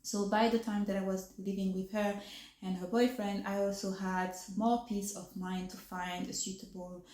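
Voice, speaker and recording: A woman's voice: medium pitch, level tone, moderate pace, a little mellow, echoey room. A woman from France with a French accent, speaking English as a second language in a casual vlog chat, her words slightly affected by new braces and broken up by fillers. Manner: articulation a little off from new braces; hesitant, many fillers and restarts. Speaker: vlogger.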